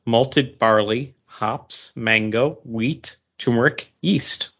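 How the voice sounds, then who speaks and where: A man with a medium-pitched voice gives casual, conversational commentary as a podcast co-host. He speaks haltingly, and the sound is a little dull and lo-fi. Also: a bit bright. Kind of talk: casual drink review.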